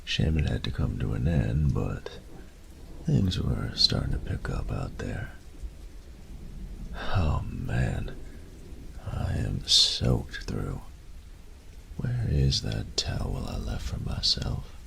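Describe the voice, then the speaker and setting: A man's voice: a bass voice, wildly swooping pitch, takes it slowly, vocal fry, long pauses, fairly bright tone, a little hiss or hum, heavily acted. A man playing a carefree, thrill-seeking college roommate in an audio roleplay, talking in an easygoing, nonchalant way. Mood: contented, relaxed.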